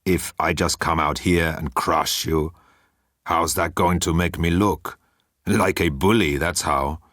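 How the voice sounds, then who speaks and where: A man's voice: sits low, steady tone, slow, fairly bright tone, no echo, studio quality, heavily acted. A man voicing a vain, image-conscious thunder god who explains himself in a chummy, self-important way. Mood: self-satisfied.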